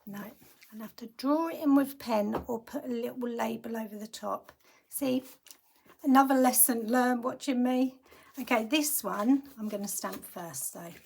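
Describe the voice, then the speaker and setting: A woman teaching a card-making tutorial in a chatty, casual way. Her voice has gone croaky, and she often murmurs half-whispered asides to herself as she works. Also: a little high, moderate pace, darkish tone, slight background noise.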